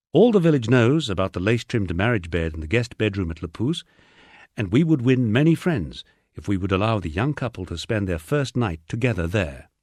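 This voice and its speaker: A man's voice: lowish voice, dry recording, studio quality. A man reading a memoir as a scripted audiobook narration.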